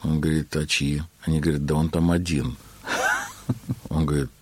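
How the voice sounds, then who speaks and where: A man being interviewed about his work as a keyboard player, telling his story in an unhurried way with many filler words. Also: extremely low pitch, flat delivery, a little mellow, slightly rough, dry recording.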